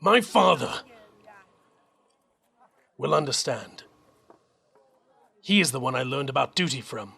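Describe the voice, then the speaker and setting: A man playing a dutiful young army captain in an audio drama, earnest and measured. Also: medium pitch, animated, creaky, bright, forceful tone, slightly rough, heavily acted. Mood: firm, resolute.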